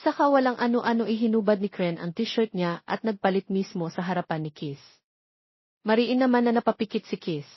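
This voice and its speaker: A woman's voice: medium pitch, expressive, brisk, husky. A woman reading a scripted romance story as its narrator. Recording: roomy, slightly dull sound.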